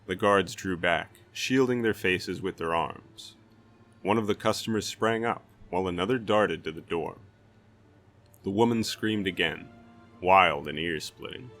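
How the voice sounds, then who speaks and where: A man's voice: sits low, a little slow, fairly bright tone, faint music behind. A man reading fantasy fiction aloud as a dramatic narrator. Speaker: audiobook reader.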